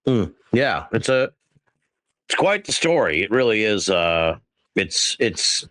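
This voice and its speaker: A man hosting a casual podcast interview, speaking haltingly with repeated words and an enthusiastic manner. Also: on the low side, very animated, fairly bright tone, no room sound.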